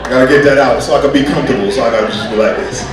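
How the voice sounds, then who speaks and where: A man doing a stand-up comedy set, animated, acting out bits with loud yelps and squeaky voices. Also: racing, very noisy. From United States. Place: comedy club stage. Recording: distant, echoey live club recording with loud crowd.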